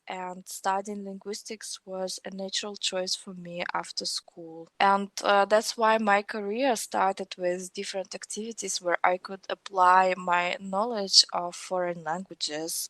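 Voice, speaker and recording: A woman's voice: mid-range voice, a bit bright, dry recording. A woman in her thirties with a Russian accent, talking conversationally as an interview guest. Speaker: podcast guest, technical trainer.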